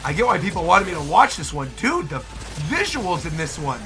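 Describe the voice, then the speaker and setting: A man's voice: a little high, very animated, brisk, over music. A man giving casual, effusive reaction commentary full of slangy exclamations, as the host of a video.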